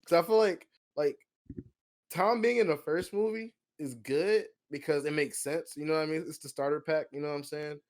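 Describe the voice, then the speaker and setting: A man with a fairly high voice chats casually on a podcast. He talks in slang and pushes his opinions, often doubling back over his words to make the point. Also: steady tone, moderate pace, darkish tone. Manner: slangy, opinionated, repeats himself. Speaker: podcast co-host. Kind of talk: casual chat, film discussion.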